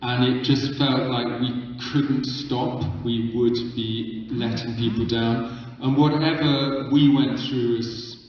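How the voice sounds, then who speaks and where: A man's voice: mid-range voice, little pitch movement, slow pace. Earnest filmmaker man in an echoey hall. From Uganda. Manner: earnest, explains at length with repeats. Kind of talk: answering questions on stage. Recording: echoey hall from far off, dull lo-fi.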